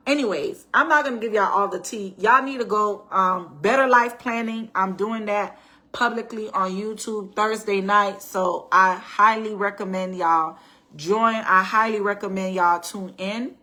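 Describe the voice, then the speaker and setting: Middle-aged woman, bright and a touch raspy, conversational vlogger who talks straight to her audience. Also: mid-range voice. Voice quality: raspy at times. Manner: chatty, addresses viewers as y'all, frequent restarts and rhetorical "right?". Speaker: faith and life-planning vlogger. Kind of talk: casual personal storytime talk.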